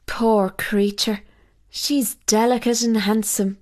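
A woman's voice: on the high side, steady tone, slow pace, a little mellow. A woman voicing a fretful old peasant mother who speaks. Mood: pitying.

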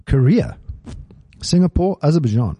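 Husky, dark-voiced man. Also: on the high side, very animated, normal speed, no echo. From South Africa. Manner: teasing, playful banter. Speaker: podcast co-host. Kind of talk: casual chat. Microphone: studio mic.

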